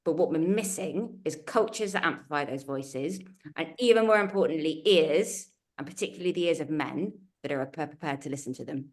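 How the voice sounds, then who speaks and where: A woman's voice: low voice, a little fast, darkish tone. A woman speaking as a panelist on a webinar over a video call, earnest and emphatic, building her points in lists. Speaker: panelist from a culture change consultancy.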